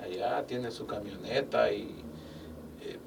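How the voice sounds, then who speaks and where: An older man, a business owner telling his life story as a guest in a casual interview. He speaks with animated, faith-filled phrasing and acts out quoted dialogue. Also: lowish voice, takes it slowly, creaky. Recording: distant mic with faint background noise.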